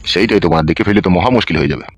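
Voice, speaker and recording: A man voicing a gruff, stern old village father in a comedy cartoon, with a rural West Bengal accent. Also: low voice, racing, natural voice. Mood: warning. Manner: gruff, warning.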